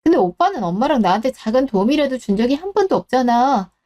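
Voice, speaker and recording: A woman voicing a blunt, sharp-tongued daughter in her fifties in a radio drama who speaks in an exasperated way. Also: high-pitched, very animated, fast. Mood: bitter. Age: 50s.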